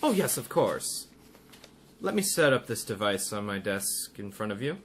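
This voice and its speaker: An actor playing a vain, scheming hypnotist therapist in a radio mystery, with a deliberate, soothing yet commanding manner. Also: male voice, on the low side, very animated, a bit bright, a little hiss or hum. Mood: hypnotic, coaxing. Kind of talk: radio mystery drama acting.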